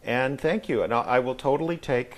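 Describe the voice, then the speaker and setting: Middle-aged man with a mid-pitched voice. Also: fairly quick. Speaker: interview guest. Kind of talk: casual interview.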